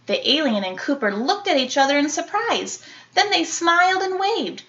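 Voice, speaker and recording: A woman reading a children's picture book aloud like an elementary teacher, animated, with dramatic emphasis and playful sound-effect words. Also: sits high, moderate pace, dull audio.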